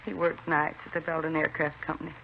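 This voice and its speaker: Subdued middle-aged widow, 1950s radio crime drama; gravelly tone, faint hiss. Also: female voice, sits low, level tone, fast talker. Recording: muffled, hissy 1950s radio recording.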